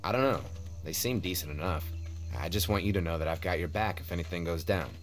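A man's voice: low-pitched, fast, lightly acted. An actor playing an edgy, loyal, talkative man in a zombie apocalypse game, with casual, candid delivery. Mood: casual, probing. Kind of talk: video game voice acting, dramatic dialogue. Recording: game music bed behind voice. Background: music.

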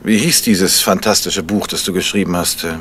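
An actor playing a suave, polite crime novelist and amateur detective, smooth and understated, in a German radio play. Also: male voice, sits low, moderate pace, a bit bright. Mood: affable. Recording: faintly noisy vintage radio play recording.

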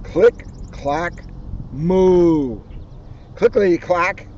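Older guy doing an outdoor storytime, slow, pretty high voice for a man, tosses in jokes, a bit of background noise. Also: darkish tone, highs cut off. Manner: dramatic reading with joking asides. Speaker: police officer reading aloud. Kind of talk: expressive children's story read-aloud. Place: outdoors on an open grassy lawn. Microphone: camera a short distance away.

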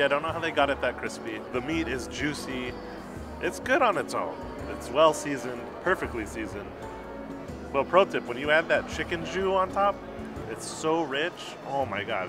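Enthusiastic man reviewing food. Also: medium pitch. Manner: enthusiastic, gushing about food. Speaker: food vlogger. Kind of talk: casual restaurant review. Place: busy indoor restaurant patio. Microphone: lapel mic.

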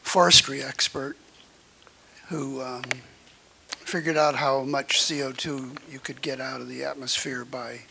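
An older man in the audience asking a question at a lecture, speaking haltingly with many pauses and fillers, at a stand mic in a conference room. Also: medium pitch, fairly even intonation, creaky, pushed and bright, a little hiss or hum, poor audio. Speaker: audience member. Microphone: floor-standing mic.

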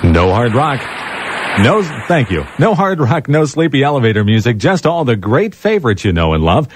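A male station announcer delivers a scripted promo with animated, mid-pitched delivery. Faint music sits behind him in the 1980s radio broadcast. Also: normal speed.

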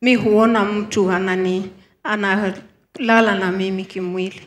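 A woman's voice: mid-range voice, fairly even intonation, measured pace, echoey room, a little hiss or hum. A woman from Kenya, a congregant who speaks in short phrases so they can be interpreted.

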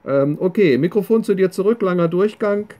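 A man's voice: high voice, lively intonation, brisk, dark tone. A man from Germany talking casually as an amateur radio operator, polite and wordy with some hesitation. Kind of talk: casual ham radio conversation.

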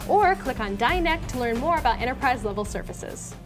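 Young american woman cohosting a tech show, kinda high voice, casual, bit of music behind. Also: expressive, fairly quick. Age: young adult. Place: TV studio at a desk.

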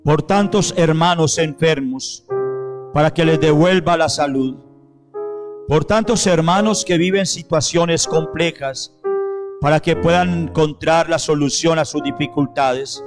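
Middle-aged priest praying at the altar, slow solemn phrasing, handheld mic, piano playing under him, voice a bit high. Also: male voice. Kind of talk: liturgical prayer and renewal of baptismal promises. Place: church sanctuary, at the altar. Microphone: handheld microphone. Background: soft piano music.

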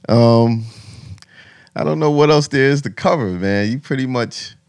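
A man's voice: mid-range voice, measured pace, darkish tone, clean tone. An American man in his thirties chatting casually as an interviewer on a podcast. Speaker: podcast host. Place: small podcast studio with acoustic foam. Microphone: close studio mic on boom arm.

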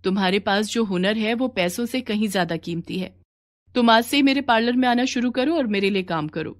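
A woman's voice: medium pitch, brisk, voice pushed up, lightly acted. A woman voicing a remorseful, generous parlour owner in a cartoon story. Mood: earnest. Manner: pleading then kind.

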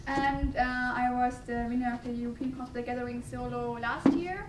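A woman's voice: fairly high pitch, fairly even intonation, brisk. Echoey young German-accented woman on panel. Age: young adult. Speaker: cosplayer on panel. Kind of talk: informal self-introduction. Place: conference room with audience. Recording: echoey room, distant mic, noisy.

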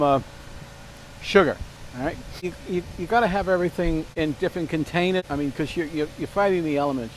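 A man's voice: a little high, rough recording. Older man, chatty cooking host, rambling, outdoors, lapel mic, faint outdoor noise. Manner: rambling, chatty, full of fillers and restarts. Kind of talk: casual cooking demonstration. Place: outdoor backyard by a fence.

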